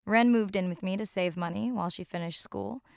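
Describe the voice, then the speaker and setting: A woman playing a caring, reflective young marine scientist in a video game, speaking naturally and intimately with a slightly gravelly edge. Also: medium pitch, fairly quick, no room sound, clean studio sound, lightly acted. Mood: fond, light.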